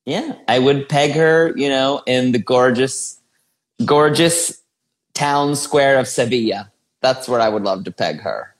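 A man's voice: mid-range voice, a little slow. A man co-hosting a podcast, chatting casually and teasing his co-host with frequent laughter. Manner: playful, teasing, laughs often. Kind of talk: casual chat, reviewing reality show contestants.

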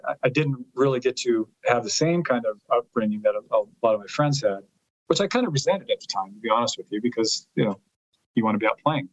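A man in his fifties, a native Italian speaker, recounts family history at an unhurried, rambling pace, doubling back on his words. His voice sits at a middle pitch. The sound is dry and a little muffled. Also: dry recording. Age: 50s. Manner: rambling, repeats words while finding his thought. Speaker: guest, lawyer and author. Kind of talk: storytelling interview.

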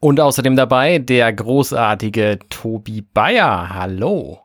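A man's voice: medium pitch, animated, takes it slowly, no echo, clean studio sound. A man hosting a podcast, opening the show and welcoming guests with friendly patter. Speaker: podcast host. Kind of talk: casual podcast chat.

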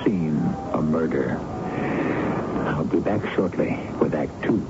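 Portentous guy narrating old radio mystery, muffled sound, loud music behind. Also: a bass voice. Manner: portentous, measured. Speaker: host narrator of a mystery series. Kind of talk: scripted dramatic narration. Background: dramatic music.

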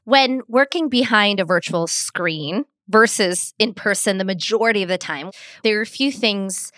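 A woman's voice: mid-range voice, normal speed, sharp tone, dry recording. A woman speaking as a communications expert in an interview, laying out her advice point by point in a structured, methodical way. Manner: methodical, lays out numbered points.

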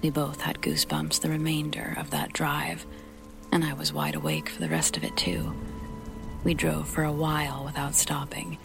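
A woman reading a first-person horror story as scripted narration, in a breathy, near-whispered, creaky voice, with music and rain behind her. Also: deep voice, sing-song, normal speed.

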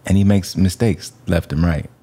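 A man's voice: deep voice, moderate pace, vocal fry, warm tone, slightly rough. A man talking reflectively in an interview.

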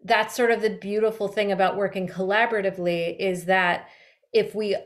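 A woman's voice: mid-range voice, steady tone, fairly quick. A woman in a reflective, informal conversation, thinking aloud as a director and producer. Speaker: audio drama director and producer.

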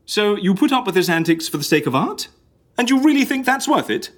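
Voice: mid-range voice, sing-song, fairly quick. An actor playing an exasperated, nosy modern interviewer in a comic audio drama, blunt and colloquial. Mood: incredulous.

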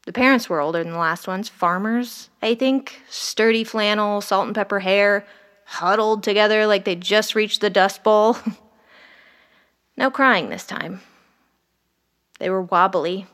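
A woman's voice: mid-range voice, slow pace, pauses often, fairly bright tone. A woman playing a wry, haunted, sleepless ER doctor who narrates a horror audio drama in the first person. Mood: brooding, uneasy. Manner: wry, brooding, literary. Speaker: narrator and lead character.

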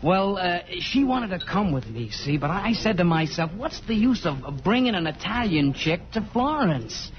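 An actor playing a lonesome, tipsy young American army corporal in a 1950s radio drama, hesitant and rambling. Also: male voice, high voice, sing-song, moderate pace, few pauses, slight background noise. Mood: tipsy, torn. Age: young adult. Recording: muffled vintage radio broadcast with music.

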